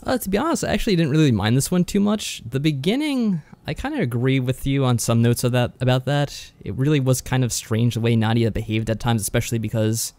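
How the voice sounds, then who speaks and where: Low-key man in a dry recording. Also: animated, fairly quick, hardly any pauses, darkish tone, no room sound. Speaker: podcast co-host. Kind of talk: casual discussion.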